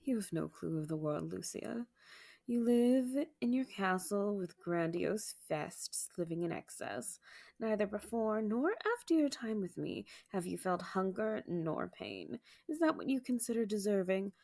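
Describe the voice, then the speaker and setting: A woman playing a proud, bitter vampire warrior in a dramatic audio roleplay, with a raspy voice and formal, argumentative manner. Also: mid-range voice, sing-song, measured pace, darkish tone, exaggerated voice. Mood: indignant, bitter. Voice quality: raspy, gravelly. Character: defiant, bitter female vampire warrior, proud former farm girl.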